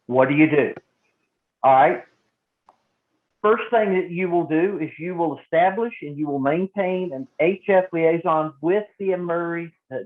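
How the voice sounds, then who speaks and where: Male trainer, slightly high-pitched, emphatic and deliberate, teaching a group; thin phone-like sound. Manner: emphatic, deliberate, with repetition for stress. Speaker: emergency radio volunteer trainer. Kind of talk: instructional talk to a group. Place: indoor room, live training session. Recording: roomy echo, phone-like narrow band.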